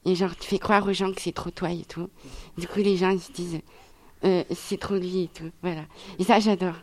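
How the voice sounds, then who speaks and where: A woman's voice: fairly low pitch, level tone, normal speed. Hesitant chatty young woman. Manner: hesitant, many fillers, chatty. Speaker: audience member asking questions. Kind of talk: casual question.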